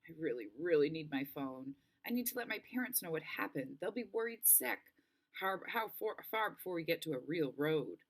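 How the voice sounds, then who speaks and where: A woman's voice: low-pitched, expressive, normal speed. A woman voicing an anxious, curious young girl stranded in the wilderness in a storybook read-aloud. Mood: worried. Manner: questioning, worried. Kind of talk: storybook character voice.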